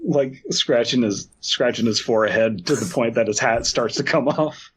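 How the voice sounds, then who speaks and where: A man's voice: mid-range voice, moderate pace, slight background noise. A man co-hosting a comedy podcast, riffing casually with absurd, crude jokes. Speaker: podcast co-host.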